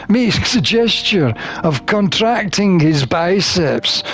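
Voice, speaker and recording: A man reading a visual novel aloud as a gamer, expressive and theatrical, with drawn-out phrasing. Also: high-pitched. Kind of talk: expressive read-aloud of game text.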